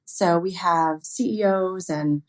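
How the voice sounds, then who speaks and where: A woman's voice: lowish voice, takes it slowly. A woman speaking as an expert guest in an interview, explaining things in an informal, conversational way with everyday examples. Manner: frequently chuckles, informal, illustrates with acted-out quotes.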